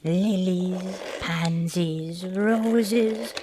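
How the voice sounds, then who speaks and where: A woman's voice: on the low side, extremely slow, dark tone. A woman playing a kindly, insistent old woman in a fairytale audio play, with a fussing, folksy manner. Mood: gentle, curious. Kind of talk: amateur audio play acting. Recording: faint background noise.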